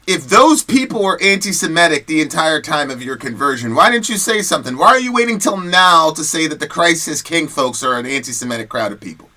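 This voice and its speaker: A man giving casual, slangy commentary and telling personal stories in an animated, conversational way, as the host of a show. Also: a little high.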